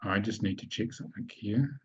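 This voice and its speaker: A man hosting an online webinar, explaining calmly and checking in often with the people listening. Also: on the low side, brisk, breathy edge, muffled recording. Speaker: webinar host and spiritual teacher. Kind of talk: live online webinar teaching.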